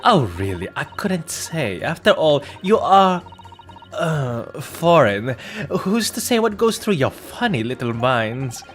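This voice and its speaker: A man's voice: on the high side, sing-song, slow pace, faint music behind. A man voicing a haughty, prejudiced upper-class woman juror in a courtroom game, with an affected, condescending manner.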